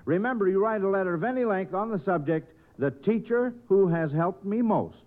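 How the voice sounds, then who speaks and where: A man hosting an old-time radio quiz show, addressing children in an animated, folksy, encouraging way. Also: sits high, a little fast. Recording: old radio broadcast, thin phone-like band.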